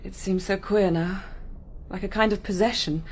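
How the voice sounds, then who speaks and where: An actress playing a fragile, reflective young English heiress in a radio drama, speaking softly with a British accent. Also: female voice, medium pitch, steady tone, moderate pace, a little hiss or hum, lightly acted. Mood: haunted, confessional.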